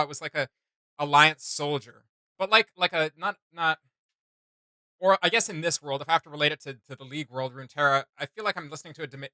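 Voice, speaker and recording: Very bright-voiced man reacting casually. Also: on the high side, no echo. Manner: hesitant, groping for words. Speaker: reaction video host.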